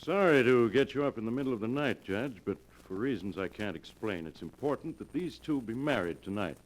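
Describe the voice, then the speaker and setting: A man's voice: medium pitch, lively intonation, fairly quick, slight background noise, lightly acted, poor audio. A man playing a composed, authoritative doctor with a mid-range voice. He probes and persuades earnestly, in classic 1940s radio drama fashion. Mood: urgent, persuasive. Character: calm, probing, authoritative doctor advising a troubled patient.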